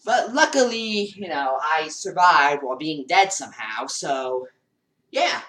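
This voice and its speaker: Teen boy, comic vlogger, bouncy high delivery, distant from mic. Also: expressive, unhurried, bright, forceful tone. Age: teens. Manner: rambling, jokey, acts out scenes while moving around. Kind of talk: comedic parody storytelling. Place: small basement room, indoors. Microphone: built-in webcam mic across the room. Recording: roomy and distant.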